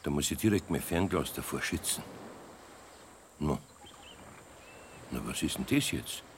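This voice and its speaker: A man playing a gruff but soft-hearted older master craftsman with a Bavarian accent, grumbling and muttering in a children's radio play. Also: extremely low pitch, lively intonation, unhurried. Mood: annoyed. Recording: noisy 1970s radio play recording.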